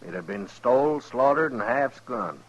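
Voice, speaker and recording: A man's voice: mid-range voice, expressive, normal speed, close to an everyday voice. An actor playing a hard, belligerent cattleman with a rural Western American drawl in an old radio western. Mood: indignant. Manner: blunt, drawling, folksy grammar. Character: hard, belligerent cattleman, grown man, vengeful. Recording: muffled old radio drama recording.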